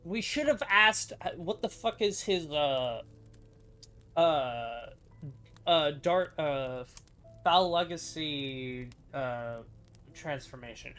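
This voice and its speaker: A man giving casual, unscripted gameplay commentary as a streamer, blunt and sweary, thinking out loud. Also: fairly high pitch, expressive, takes it slowly. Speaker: game streamer.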